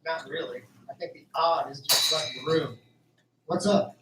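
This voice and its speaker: A man's voice: a little high, moderate pace, lightly acted. A man acting in an amateur radio drama as a wry, uneasy young farmhand with casual, sarcastic speech. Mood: puzzled. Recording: roomy, distant, faint background noise.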